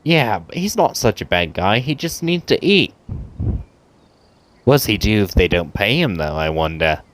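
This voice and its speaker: A woman's voice: rumbling low, very animated, unhurried. A woman giving casual commentary as she plays a video game, with a sardonic, teasing, mock-grumpy manner. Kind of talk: casual play-along commentary. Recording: game music behind the voice.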